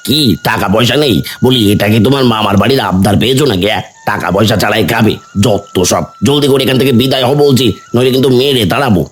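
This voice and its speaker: A man's voice: mid-range voice, fast, hardly any pauses, breathy edge, natural voice. A man voicing a greedy, rude village food seller in a cartoon, mocking and threatening. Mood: scornful.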